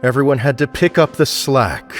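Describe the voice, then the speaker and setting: A formal office administrator, voiced by a man in a game, businesslike and polite with a stern edge. His pitch sits in an ordinary middle range. Faint music runs underneath. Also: lively intonation, moderate pace, close to an everyday voice. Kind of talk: game voice acting.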